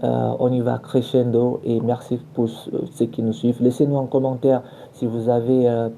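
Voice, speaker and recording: Man with a very dark, gravelly voice. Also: medium pitch, monotone, normal speed, slight background noise. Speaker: podcast host, culture journalist. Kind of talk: semi-scripted radio-style tribute and news podcast.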